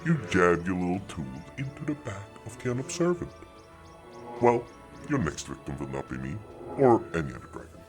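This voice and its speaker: Deep, grandiose old guardian dragon. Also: male voice, very animated, normal speed, faint music behind. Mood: indignant, scornful. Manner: grandiose, archaic, threatening speech. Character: huge old male guardian dragon, haughty, suspicious, menacing. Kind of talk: fantasy audio roleplay acting.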